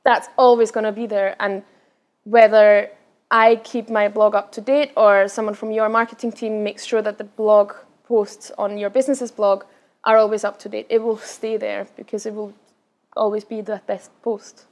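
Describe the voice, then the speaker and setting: A young woman with an Austrian German accent softened by Scottish touches answers questions at a live talk. She talks fast and chattily, stumbling into restarts and fillers, at an ordinary middle pitch. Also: little pitch movement. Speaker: guest speaker.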